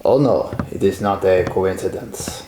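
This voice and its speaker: A man's voice: deep voice, a little slow, echoey room, background noise. An actor playing a proud, courteous young French nobleman fallen on hard times, with a French accent, in a radio drama. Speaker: Vicomte de Verlon.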